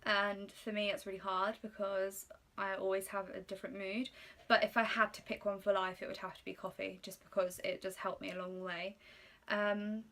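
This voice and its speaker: Young woman, twenties, Polish first language, crisp bright voice, chatty vlog answers. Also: medium pitch, level tone, moderate pace. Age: 20s. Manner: chatty, reads questions aloud and answers with frequent ums. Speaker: YouTuber. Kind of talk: casual get-to-know-me Q&A.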